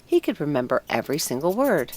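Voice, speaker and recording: A woman narrating a scripted storybook tale in an expressive, deliberate storytelling manner. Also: deep voice. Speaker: audiobook narrator.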